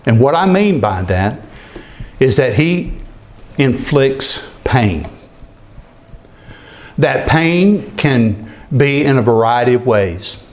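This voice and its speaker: A man pastor preaching an earnest expository sermon, sometimes repeating a word as he goes. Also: mid-range voice.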